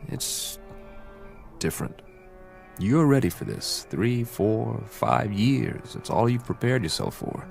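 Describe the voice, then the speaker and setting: An actor playing an uneasy, hesitant scientist about to travel into space in a radio drama. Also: male voice, mid-range voice, expressive, slow, gravelly, soft background music. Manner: hesitant, subdued, searching.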